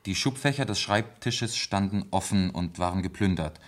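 This is an actor playing a dutiful male police lieutenant in a radio play. He has a deep voice. He reads official notes formally and with care, hesitating between items. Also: normal speed, natural voice. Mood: formal, sober. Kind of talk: German radio play acting.

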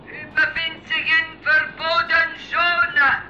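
A commanding naval officer in a radio drama, hailing through a megaphone. His delivery is slow, formal and monotone, with a piercing, echoing sound. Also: very high-pitched, exaggerated voice. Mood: stern, official. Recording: dull, echoing megaphone sound, faint noise.

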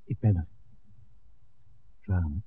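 An actor playing a calm, smitten male filmmaker in a 1960s radio drama. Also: deep voice, slow, slight background noise. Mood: tender, intimate. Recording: dull vintage 1969 radio recording.

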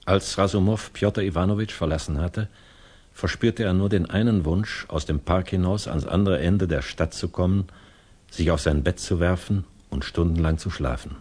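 A man's voice: low-pitched, moderate pace, a little mellow, breathy edge. A man narrating a literary tale in a 1960s German radio play, sober and scripted.

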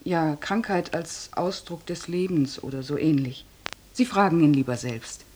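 A woman's voice: low-pitched, a little slow, darkish tone, a little hiss or hum. An actress in a radio drama playing a cheery hospital nurse who mothers and coaxes her patient. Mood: cheery, coaxing. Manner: coaxing, kindly patronising.

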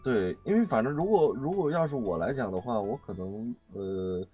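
A man's voice: medium pitch, animated, moderate pace, dark tone, slightly rough, lo-fi sound, faint music behind. A man chatting casually as a voice actor guest in a live interview, often repeating his phrases.

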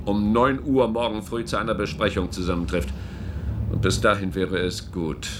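An actor playing an impatient government official in a German radio play, formal and bitingly sarcastic. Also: male voice, rumbling low, noisy background. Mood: coldly sardonic. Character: sardonic, impatient male government official named Charles.

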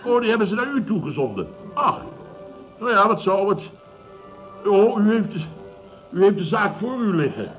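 Blustering, animated middle-aged boss. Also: male voice, high voice, normal speed, long pauses, faint music behind. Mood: eager, gloating. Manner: pompous, exclamatory, stammers when flustered. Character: blustering, self-satisfied middle-aged boss of a building firm. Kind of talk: 1970s Dutch radio comedy acting. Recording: dull, noisy vintage radio recording.

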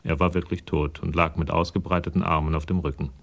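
A deep-voiced man narrates a German radio crime drama from a script. His voice has a gravelly creak. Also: flat delivery, quick pace, fairly bright tone.

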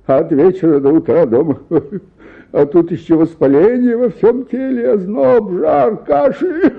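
A man's voice: on the high side, phone-quality sound, full character voice. An actor playing an exhausted, ailing and irritable bank official in a theatrical radio play, groaning and sighing as he talks. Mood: aggrieved self-pity.

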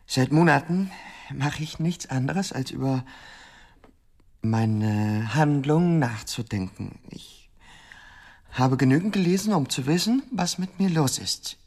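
An actor playing an agitated, self-absorbed male patient in an institution, halting and formal, pleading in a radio drama. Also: a little high, darkish tone. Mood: earnest, intense.

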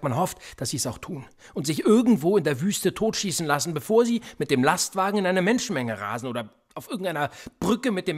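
Sardonic, needling policeman with a husky edge. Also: a little high. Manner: sarcastic, probing, provocative. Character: sardonic, needling male police interrogator, quietly menacing. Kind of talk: German radio play acting.

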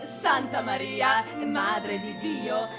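An actress playing a childlike, animal-like, pleading spinster in experimental radio theatre, speaking in halting, broken, repetitive phrases. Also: female voice, on the high side, over loud music. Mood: praying. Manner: broken childish grammar, repeating words, halting.

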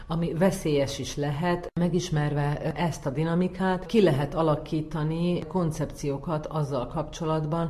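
A woman's voice: low voice, warm tone. A woman speaking as an academic expert, explaining ideas in long, thoughtful sentences. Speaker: social psychologist, interviewed expert.

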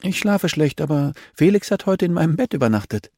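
A man's voice: on the high side, very animated, a little fast, mellow, no echo, studio quality, pitched above the performer's own voice. A man voicing a shy, candid ten-year-old girl with a childlike, simple manner in an audiobook. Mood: timid, curious. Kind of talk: audiobook character dialogue.

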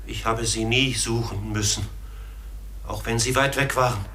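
A man acting in a German radio play as a forlorn outcast raven, speaking gravely and haltingly with a lamenting, breathy delivery. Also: medium pitch, steady tone, pushed and bright, slight background noise. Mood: sorrowful, lost. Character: forlorn talking male raven, outcast and afraid.

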